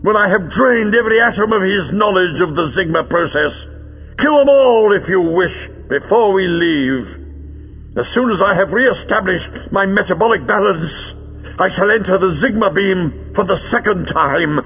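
Storybook villain: menacing, declamatory, high and swooping, on slightly muffled audio. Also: male voice, expressive, moderate pace, fairly bright tone, in a large hall, slight background noise. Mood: gloating. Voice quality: hoarse with rage. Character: gloating, raging male villain, cruel and theatrical. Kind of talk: dramatic audiobook character voice.

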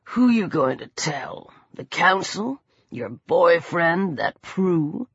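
A woman voicing a gruff, lecherous older male bully in an audiobook, snarling and slowly drawling. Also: on the low side, very animated, no room sound, dull audio, voice pushed down, full character voice. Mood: lewd, taunting. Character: menacing older bearded man, gruff thug, lecherous bully.

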